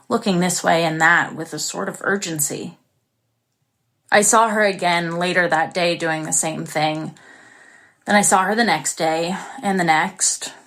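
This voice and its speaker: A woman's voice: medium pitch, a bit bright. An actress playing an isolated, anxious young woman who recounts her story in an intimate, confessional way for an audio drama. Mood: reflective. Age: young adult. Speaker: actress performing a monologue. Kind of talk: dramatic first-person audio drama narration.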